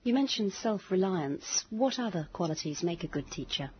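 A woman with a husky voice putting prepared interview questions. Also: on the low side, animated, moderate pace. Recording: dull lo-fi tape.

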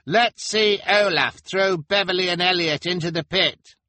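A man's voice: a little high, expressive, moderate pace, bright, forceful tone, breathy edge, dry recording, voice pushed up, full character voice. A man voicing a pimply, bloodthirsty male heckler in a storybook, brash and impatient. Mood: eager goading.

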